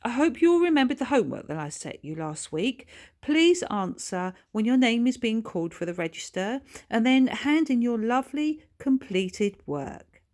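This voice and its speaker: Woman as a prim, projecting schoolteacher, raised pitch. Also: big pitch swings, normal speed, darkish tone. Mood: bright, brisk. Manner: projected, prim, addressing a class. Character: polite, strict but encouraging female schoolteacher.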